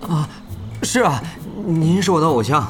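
A man's voice: fairly high pitch, expressive, normal speed, fairly bright tone, soft background music. A man playing a flustered, stammering fanboy gamer. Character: nervous young male guild leader, starstruck fan.

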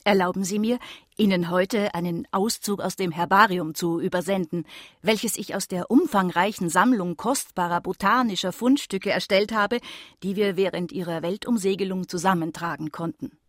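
A woman's voice: on the low side, moderate pace, dry recording, clean studio sound, close to an everyday voice. A woman playing a learned French botanist of the eighteenth century, reading her formal, courteous letters in a radio play.

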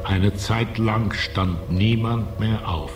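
An actor as a grave, deliberate narrator of ghostly poetic scenes in a radio play. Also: male voice, lowish voice, background noise. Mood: grave, hushed. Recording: music playing behind the voice.

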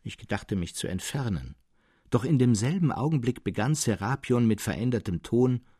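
Ein Mann liest als Erzähler eine literarische Geschichte vor, gemessen, ausdrucksvoll und in gehobenem Ton. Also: male voice, mid-range voice, animated, normal speed, mellow, husky, no room sound, studio quality. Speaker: audiobook narrator. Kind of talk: literary story reading.

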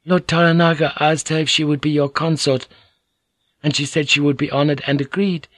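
A man's voice: fairly high pitch, fairly even intonation, a little fast, fairly bright tone, dry recording, pitched above the performer's own voice, natural voice. A man reading an audiobook, voicing a polite, anxious young Japanese noblewoman who serves as interpreter. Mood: earnest, apologetic. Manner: polite, soothing, earnest. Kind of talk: audiobook character voice.

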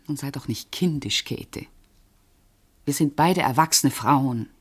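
A woman's voice: extremely low pitch, measured pace, slightly rough, exaggerated voice. An actress in a radio play playing a dry, sensible middle-aged woman who teases and advises her friend. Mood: firm, slightly impatient. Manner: dry, wry, matter-of-fact. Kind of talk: German radio drama dialogue.

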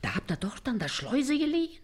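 Radio comedy matriarch: middle-aged, Berlin dialect, sharp-tongued and canny, swooping pitch, slightly rough voice. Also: female voice, sing-song, heavily acted. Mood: scolding, shrewd. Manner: brisk, scolding, plain-spoken. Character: shrewd, bossy, sharp-tongued working-class mother.

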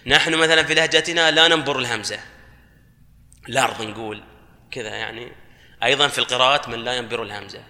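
A man, a guest on a panel, explaining his points with loud bursts of emphasis. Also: mid-range voice, quick pace, pauses often. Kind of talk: panel discussion. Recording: reverberant hall sound.

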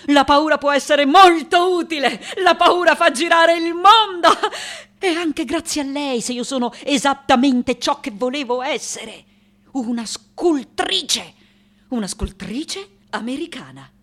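An actress playing a fiery, eccentric woman sculptor of ninety-eight in a dramatic, halting radio monologue full of emphatic repetition. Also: high voice, fairly bright tone. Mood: insistent, proud. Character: fiery, eccentric 98-year-old French-born woman sculptor.

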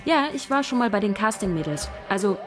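A woman's voice: mid-range voice, animated, a little fast, close to an everyday voice. An actress playing an ambitious female scriptwriter, casual and persuasive in naturalistic radio drama dialogue. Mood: casually confident. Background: music.

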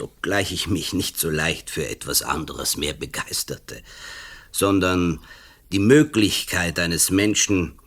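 An actor playing a brooding writer in a radio play, philosophising emphatically with a sly, malicious edge. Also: male voice, sits low, a bit bright, exaggerated voice. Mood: brutal, fascinated. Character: brooding male writer, intellectual, slyly malicious.

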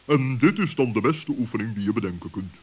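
A man playing a cautious, wise owl with a deliberate, pompous way of speaking in a children's radio play. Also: mid-range voice, animated, slightly rough. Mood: advising.

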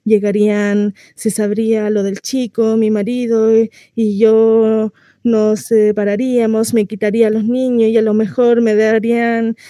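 A woman's voice: fairly high pitch, flat delivery, a little slow, dark tone, dry recording, lightly acted. A woman acting a naive, chatty housewife shut in at home, confiding in a neighbour in a rambling radio monologue. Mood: defensive. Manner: confiding, rambling, conversational storytelling with rhetorical questions.

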